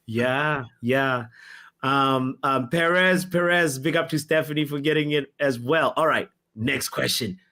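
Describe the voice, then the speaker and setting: A young man hosting a casual football quiz with friends, excitable and laughing often. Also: medium pitch, unhurried. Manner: excitable, laughing often, hype-style announcing. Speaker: quiz host.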